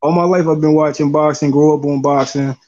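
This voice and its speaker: A man's voice: fairly even intonation, fast talker, mellow. Rambling Philadelphia man, dull lo-fi sound. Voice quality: says he has a deep voice. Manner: loose, rambling, repeats "you know what I'm saying". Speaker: guest caller. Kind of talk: casual chat. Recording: dull lo-fi stream audio.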